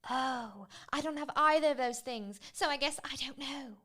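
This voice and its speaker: A woman playing a sarcastic, short-tempered female prisoner in a tabletop roleplay, speaking in sardonic, deliberate phrases. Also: high voice, a bit bright.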